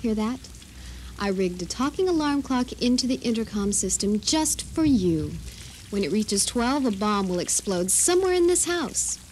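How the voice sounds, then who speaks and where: A woman playing a bitter, vengeful captor in an audio drama, speaking with sardonic, deliberate menace. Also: a little high, big pitch swings, a little mellow. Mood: taunting, menacing. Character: vengeful doomed female captor, bitter, taunting, coldly menacing. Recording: faintly noisy radio drama recording.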